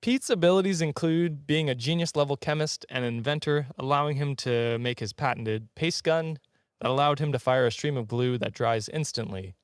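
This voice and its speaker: A man's voice: medium pitch, moderate pace, dry recording, studio quality. A man hosting a comedy podcast, telling stories informally with amused, jokey asides. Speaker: podcast host. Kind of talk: casual comedic podcast storytelling.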